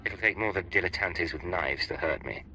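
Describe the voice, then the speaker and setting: Cocky suave merc agent, lowish very crisp bright voice, game dialogue. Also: male voice, moderate pace, soft background music, low-quality recording. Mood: cocky, cool. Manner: suave, wry, self-assured. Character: cool, cocky mercenary captain, imperial agent. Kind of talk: scripted video game acting.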